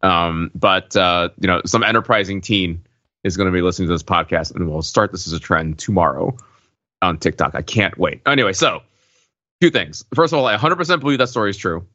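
Deep, bright-voiced man on a dull recording. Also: fairly quick, dry recording. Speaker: podcast host. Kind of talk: casual pop culture discussion.

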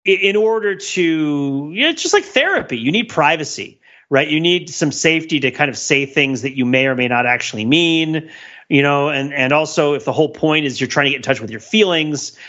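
A man's voice: a little high, animated, brisk, pushed and bright, muffled recording. A man co-hosting a casual podcast discussion, rambling and interrupting himself as he thinks aloud. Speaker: podcast host.

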